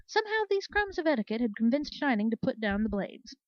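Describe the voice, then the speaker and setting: A woman reading a scripted story aloud in an expressive storybook manner. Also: a little high, a little fast, dry recording, dull audio. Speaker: story reader. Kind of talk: scripted narration of fan fiction.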